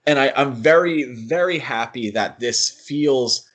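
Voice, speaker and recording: A man's voice: medium pitch, a little slow, a bit bright. A man co-hosting a podcast, thinking aloud in a casual fan discussion and often qualifying what he says. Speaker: podcast co-host.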